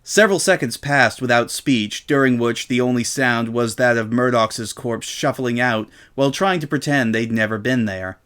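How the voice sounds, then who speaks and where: A man's voice: medium pitch, moderate pace, few pauses, fairly bright tone. A man narrating a comic story as a dramatic reading.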